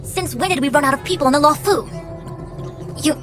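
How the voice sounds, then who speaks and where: An actress voicing a haughty, brisk young female master diviner in a video game, formal, articulate and authoritative. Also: high-pitched, close to an everyday voice. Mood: indignant. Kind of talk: scripted video game voice acting. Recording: game music underneath.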